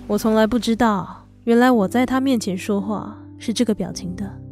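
A woman voicing a gentle, guarded young woman in a Mandarin audio drama, soft-spoken and restrained, with a Taiwanese accent. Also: mid-range voice, normal speed, lightly acted. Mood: tender. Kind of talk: Mandarin radio drama acting. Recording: music bed under the voice.

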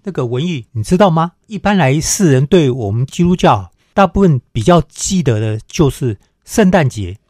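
Lively-pitched man co-hosting radio. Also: moderate pace, few pauses, no echo, studio quality. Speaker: radio co-host. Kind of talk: conversational radio talk.

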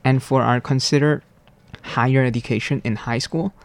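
A man, explaining things in formal, deliberate, carefully worded answers. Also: medium pitch, steady tone, no echo. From Malaysia. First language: Cantonese. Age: 20s. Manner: formal, deliberate, precise wording. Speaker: university student guest.

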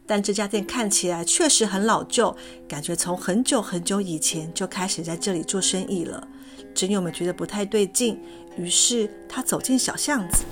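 A woman with a Taiwanese Mandarin accent narrating a storybook for children in a gentle, even read-aloud manner. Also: medium pitch. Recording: faint music underneath.

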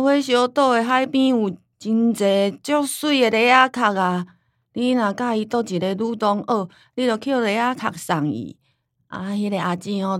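A woman's voice: a little high, no echo, studio quality, natural voice. An actress voicing a forgetful, teasing old grandmother who grumbles and scolds in a radio drama. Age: older. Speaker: voice actor.

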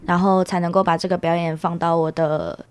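A woman's voice: lowish voice, flat delivery, brisk, slight background noise. A young woman with a Taiwanese Mandarin accent giving casual, reflective answers as a student interviewee. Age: young adult.

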